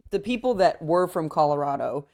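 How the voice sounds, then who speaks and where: Young woman from chicago, lowish voice, laughs a lot and swears while she tells a story, does everyone's lines. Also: measured pace. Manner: animated, laughing, swearing, acting out quotes. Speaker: podcast co-host. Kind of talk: casual storytelling chat.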